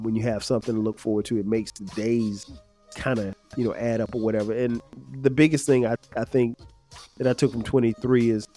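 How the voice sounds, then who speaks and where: A man in his fifties hosting his own podcast, talking in a relaxed, rambling way with lots of fillers, his voice a little nasal and stuffed-up. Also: mid-range voice. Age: 50s. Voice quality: slightly nasal, stuffed-up from sinus trouble. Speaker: podcast host. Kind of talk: casual solo podcast reflection.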